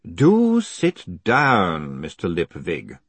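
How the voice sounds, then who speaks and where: Man as a slow, weary, courteous ruler. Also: low voice, wildly swooping pitch, husky, no echo, exaggerated voice. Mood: weary, dry. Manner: measured, dry, formal. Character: wearily commanding male ruler, cool and courteous.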